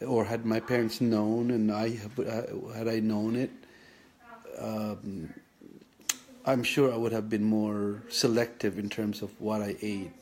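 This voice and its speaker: A man, recalling his childhood in a reflective personal vlog, thinking aloud with many pauses and restarts. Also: fairly low pitch, little pitch movement, a little mellow. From Philippines. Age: 50s. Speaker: vlogger sharing his kidney story.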